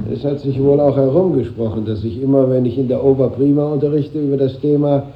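An actor playing a measured, knowing schoolmaster who lectures his pupils formally in a 1950s German radio drama. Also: mid-range voice, fairly even intonation, lightly acted. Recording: echoey classroom sound, dull, noisy old radio recording.

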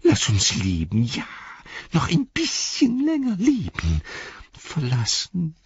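A man's voice: a little high, huge pitch swings, slow pace, slightly rough, no room sound, lo-fi sound, voice pushed up, heavily acted. A man voicing a wretched, greedy creature who whines, pleads and hisses in a storybook reading. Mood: whimpering, pleading. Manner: whining, pleading, hissing. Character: wretched, emaciated, greedy creature, whimpering and cringing.

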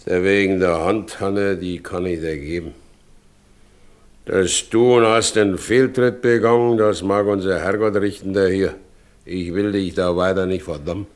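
An actor playing a brooding, wounded carter with a Silesian accent and a halting, heavy way of talking in a radio play. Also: male voice, deep voice, steady tone. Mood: brooding, bitter. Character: brooding, wounded married carter, stubborn and weary. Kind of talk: naturalistic German radio play acting.

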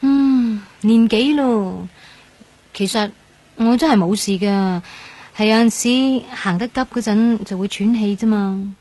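An actress playing a gentle, reflective young woman in a Hong Kong Cantonese radio drama. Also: medium pitch, a little slow, lightly acted. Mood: shyly friendly. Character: gentle young woman, heart transplant patient, wistful narrator. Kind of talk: Cantonese radio drama acting and inner monologue. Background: soft music.

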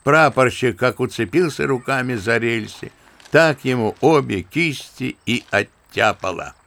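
An actor playing an old retired general in an audio drama, telling stories in a lively, scornful way. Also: male voice, mid-range voice, a little slow, vocal fry. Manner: lively raconteur, scornful asides.

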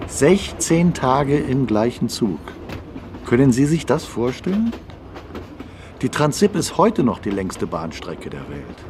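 A man's voice: medium pitch, sing-song, slow pace, darkish tone. A man acting a talkative commentator, speaking conversationally in a radio play. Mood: amazed. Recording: faint train noise behind.

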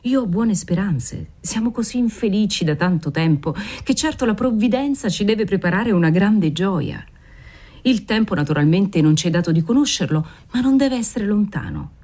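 A woman's voice: mid-range voice, animated, normal speed, lightly acted. Woman reading as a volatile old nobleman. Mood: hopeful. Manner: swings from dreamy murmuring to furious shouting; fervent, obsessive. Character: aging nobleman, desperate, volatile, pious father.

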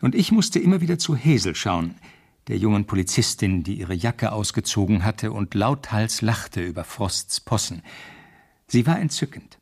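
An actor playing a police officer who narrates a radio crime drama in the first person, with measured, literary storytelling. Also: male voice, on the low side, very animated, vocal fry, husky, exaggerated voice. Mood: reflective narration. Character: male police officer narrating the case as his memoir.

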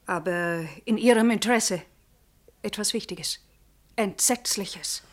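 An actress playing a timid, anxious informer in a 1960s German radio play, speaking in a hesitant, hushed, halting way. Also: female voice, medium pitch, natural voice. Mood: anxious, confiding. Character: timid, anxious female informer bringing dreadful news.

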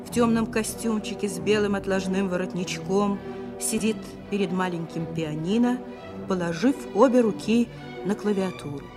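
A woman's voice: mid-range voice, close to an everyday voice. A woman narrating a radio play in a solemn, documentary manner. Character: solemn, measured female narrator. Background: music.

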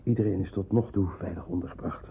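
A man's voice: low-pitched, fairly even intonation, quick pace, creaky. An actor playing a reassuring, matter-of-fact policeman who secretly helps people hide in a wartime radio drama. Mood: businesslike. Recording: noisy, phone-like old radio drama recording.

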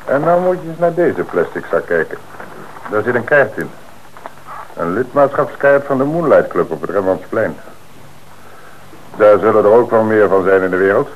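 A man acting a calm, confident police commissioner with measured authority in a Dutch radio play. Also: on the low side, phone-quality sound, close to an everyday voice. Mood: firm, questioning. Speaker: actor in a radio crime play. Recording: noisy, band-limited old radio recording.

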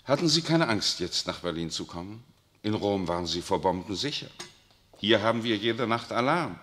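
A suave ironic nuncio. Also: male voice, on the low side, animated, normal speed, fairly bright tone, slight background noise. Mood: amused. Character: urbane worldly male papal nuncio, middle-aged. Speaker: actor in a radio play. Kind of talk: 1960s radio drama acting.

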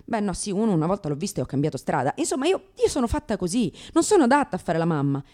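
A woman plays a brash, neurotic comedian in a radio monologue. Her delivery is theatrical and very animated, swooping around a medium pitch. She is sardonic and crude, mocking herself as much as anyone. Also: racing. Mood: anxious, ironic.

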